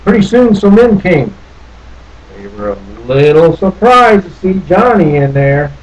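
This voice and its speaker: Higher-voiced man reading a storybook, noisy recording. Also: very animated, measured pace. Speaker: storybook reader. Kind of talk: expressive children's story read-aloud. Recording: noticeable background noise.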